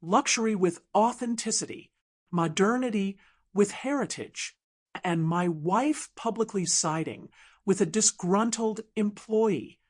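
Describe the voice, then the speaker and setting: A woman's voice: mid-range voice, unhurried, a little mellow, lightly acted. A woman voicing a cold, controlling male resort CEO with an unyielding corporate manner, in an audiobook drama. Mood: controlled anger.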